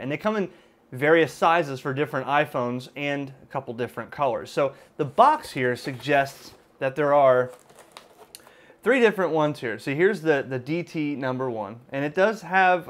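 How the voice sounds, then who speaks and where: A man presenting a hands-on product demonstration in an informal, conversational, hedging way, recorded indoors at a desk. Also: mid-range voice. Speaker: amateur radio video host and product reviewer. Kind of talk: casual unboxing and product demonstration.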